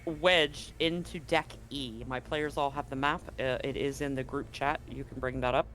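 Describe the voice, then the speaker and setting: A man's voice: medium pitch, fairly even intonation, moderate pace, a bit bright, poor audio. A man working as game master, narrating a tabletop roleplaying game in a conversational, explanatory way. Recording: music playing under the voice. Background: background music.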